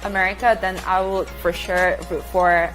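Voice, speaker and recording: A woman speaking in a measured, slightly hesitant way on a casual panel. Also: on the low side, little pitch movement, low-quality recording. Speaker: panel guest. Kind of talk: casual group chat. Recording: music bed under the talk.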